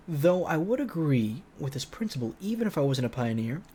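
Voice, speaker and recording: A man's voice: a little high, very animated, moderate pace, dark tone, husky. A man reading a visual novel aloud as a streamer, switching between dramatic narration and casual, joking commentary. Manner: playful, joking asides.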